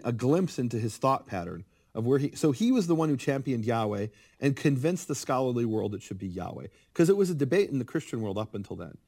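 A middle-aged man speaking as a guest, explaining biblical history in a lively, conversational way and acting out quotes. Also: medium pitch. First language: English. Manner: animated, acts out quoted speakers. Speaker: guest scholar of biblical Hebrew. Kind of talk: conversational interview, lecturing.

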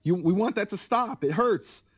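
Middle-aged male guest scholar, slightly high voice, animated and lecturing. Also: moderate pace, slightly rough. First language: English. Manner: animated, acts out quoted speakers. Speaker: guest scholar of biblical Hebrew. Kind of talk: conversational interview, lecturing.

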